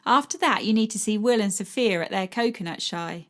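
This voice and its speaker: A woman's voice: medium pitch, brisk, a bit bright, lightly acted. Woman doing a chatty, brash fairground girl. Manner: chatty, brash, dialect grammar. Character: cheerful cheeky young fairground woman. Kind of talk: audiobook character voice.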